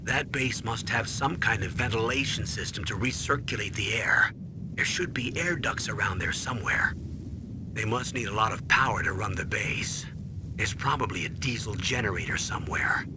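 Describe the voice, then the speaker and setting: A man voicing an older male colonel giving measured orders over the radio, in a noisy game cutscene. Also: lowish voice, animated, close to an everyday voice. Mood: instructing. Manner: measured, commanding.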